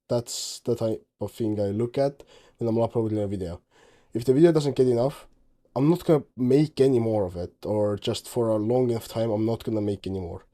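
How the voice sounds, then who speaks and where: A man's voice: mid-range voice, warm tone. A teenage man from Estonia, a native Estonian speaker, chatting casually in English about his game project, rambling and often correcting himself. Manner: rambling, self-correcting, offhand. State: tired. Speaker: game dev vlogger. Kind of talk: casual commentary over screen recording.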